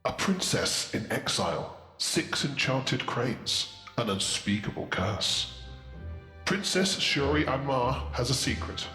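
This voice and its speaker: A man voicing a grave, dramatic storyteller who announces a fantasy book blurb in a portentous, deliberate way. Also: mid-range voice, sing-song, bright, forceful tone, full character voice. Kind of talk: theatrical scripted blurb narration. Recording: long reverb, faint music behind.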